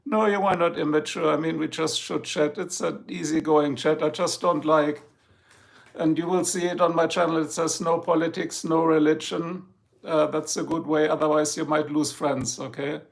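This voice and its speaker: An older man with a German accent hosts a loose, joking live chat, drifting between topics and greeting his viewers. His voice is heavily gravelly, with plenty of fry, and it sits a little higher than most men's. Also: flat delivery, moderate pace. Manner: rambling, jokey, chatting with viewers. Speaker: live stream host. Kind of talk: casual chat. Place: home, in Ohio.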